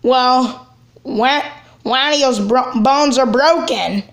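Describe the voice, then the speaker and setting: A woman voicing a worried, indignant cartoon brother who speaks haltingly and repeats phrases. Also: high voice, heavily acted.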